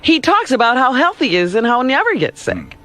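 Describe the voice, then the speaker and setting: Lively, animated woman radio co-host. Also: high-pitched, fast talker, a little hiss or hum. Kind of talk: casual studio banter.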